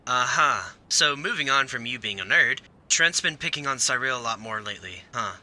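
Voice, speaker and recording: A man's voice: mid-range voice, lively intonation, moderate pace, noisy background, natural voice. A man playing a mischievous, dryly teasing teenage friend in a comic dub.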